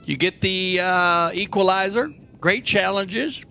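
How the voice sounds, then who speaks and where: A man commentating on a polo match from a studio, chatty and halting, often restarting his sentences. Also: high voice, sharp tone, slight background noise. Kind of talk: live sports commentary. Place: broadcast studio.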